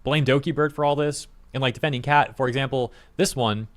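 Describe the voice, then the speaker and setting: A man's voice: medium pitch, brisk, no room sound. A young adult man co-hosting a reaction show, reading comments aloud in a blunt, exasperated, mildly sarcastic way. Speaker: co-host reacting to online drama.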